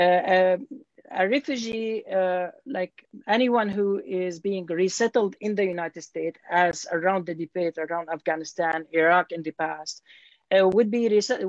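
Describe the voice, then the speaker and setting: A man's voice: high-pitched, no echo. A man, a guest answering interview questions hesitantly, with many fillers and restarts. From Syria. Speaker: guest, scholar. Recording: dull, dry lo-fi audio.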